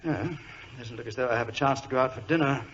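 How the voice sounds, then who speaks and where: An actor playing a high-strung, well-bred young government clerk in a 1940s radio drama, polite, excitable and wordy. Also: male voice, quick pace, natural voice. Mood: brisk, polite. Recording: muffled, noisy vintage radio recording.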